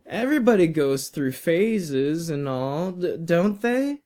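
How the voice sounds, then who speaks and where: Guy doing a nervous teen boy, way higher voice, super polite and eager, audiobook dialogue. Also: big pitch swings, slow, dark tone. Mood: nervous reassuring. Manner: eager, hesitant, over-polite. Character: teenage boy student, anxious, politely placating an old teacher.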